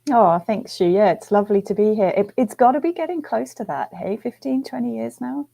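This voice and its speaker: A middle-aged woman from the UK with a light Northern English lilt, talking over a video call. Her voice is dark and relaxed, and she explains science patiently with small pauses and an easy laugh. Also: on the high side, creaky. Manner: warm, laughing, thoughtful with fillers. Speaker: guest researcher. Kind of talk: conversational explaining.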